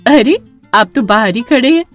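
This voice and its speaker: A woman's voice: fairly high pitch, lively intonation, normal speed, soft background music, voice pushed up, close to an everyday voice. A woman voicing a sweet, coy young village girl who sells chickpeas in a cartoon story. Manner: coy, sweet, innocent-sounding. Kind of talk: Hindi cartoon horror story.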